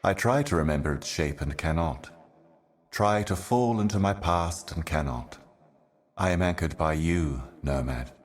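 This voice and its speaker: Otherworldly ageless envoy, video game monologue, very deep pitch, unhurried, grave, weary, brooding. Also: male voice. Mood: weary, haunted. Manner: grave, brooding, measured, portentous. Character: ancient solemn otherworldly envoy, weary eternal servant of cosmic darkness. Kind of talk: scripted game monologue, cryptic poetic narration.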